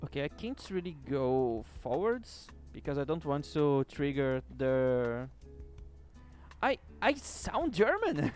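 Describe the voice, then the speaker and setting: Relaxed game streamer, a man with rolled R's and a slightly high voice, unhurried delivery, faint music behind. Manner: relaxed, chatty, swears casually at the game. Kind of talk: casual late-night gaming stream chat. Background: faint background music.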